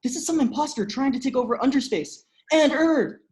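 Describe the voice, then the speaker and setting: An earnest young human hero, read by a woman in a comedy table read. The voice is slightly high and excitable, with an everyday natural sound. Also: a little fast. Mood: urgent.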